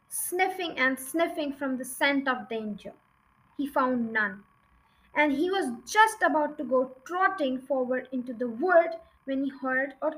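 A woman's voice: high voice, sharp tone, poor audio. A woman reading a children's storybook aloud as an audiobook narrator. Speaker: audiobook reader.

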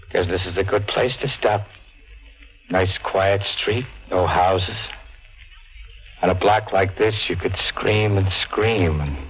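An actor playing a cold, vengeful killer in a 1940s radio thriller, speaking with deliberate menace. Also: male voice, low-pitched, dull audio. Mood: brooding, bitter. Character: cold, vengeful jilted ex-vaudeville performer bent on murder. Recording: old radio recording with background music.

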